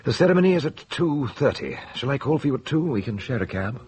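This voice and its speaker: An actor playing a mild, conventional British colleague, polite, fussy and a little hesitant, in a radio drama. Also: male voice, mid-range voice, very animated, fast, a little mellow, natural voice. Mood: matter-of-fact.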